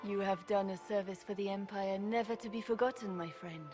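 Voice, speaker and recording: A woman's voice: mid-range voice, fairly even intonation, natural voice. Stately empress in a reverberant hall acted by a woman. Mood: grateful. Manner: stately, formal, measured. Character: regal, composed empress addressing her court. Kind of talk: formal dramatic game acting. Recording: long hall reverb over music.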